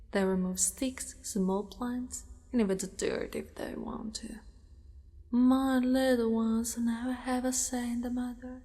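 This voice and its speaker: In a long reverb, a woman acts out a possessive spider-monster woman for an ASMR roleplay. She speaks very slowly, often dropping to a whisper. Her manner is menacing yet doting, coaxing and deliberate. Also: a little high, mellow. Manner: slow, deliberate, sinister coaxing. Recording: long reverberant cave-like echo.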